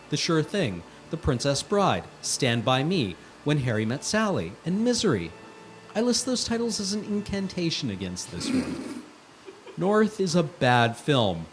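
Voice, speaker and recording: Animated man reading a scathing review. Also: medium pitch, slight background noise. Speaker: guest reader on a charity livestream. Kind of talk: dramatic reading of a written film review.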